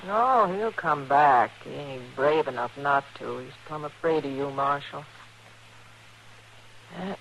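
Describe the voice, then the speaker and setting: Creaky, folksy frontier mother. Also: deep voice, slow pace. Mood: resigned, urging. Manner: folksy, rustic drawl. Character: plain, anxious, work-worn rural frontier mother. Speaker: actor in a radio western. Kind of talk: old-time radio western acting. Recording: noisy old radio recording.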